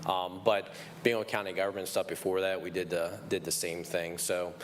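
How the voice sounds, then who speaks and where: A man's voice: sits low, level tone, normal speed, faint music behind. A man moderating a business panel in a meeting room, talking in a chatty, conversational way.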